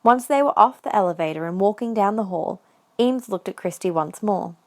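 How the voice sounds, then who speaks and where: A woman narrator tells a fan fiction story as an audio drama. Her voice sits at a medium pitch and she reads from a script in the third person. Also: expressive, moderate pace, a little mellow.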